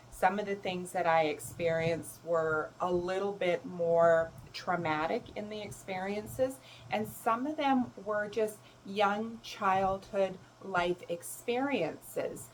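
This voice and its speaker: A woman whose was German, speaking as a self-love coach in a gentle, reflective talk. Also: medium pitch, slow pace, hardly any pauses, slight background noise, poor audio. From Canada. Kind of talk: reflective solo talk to camera.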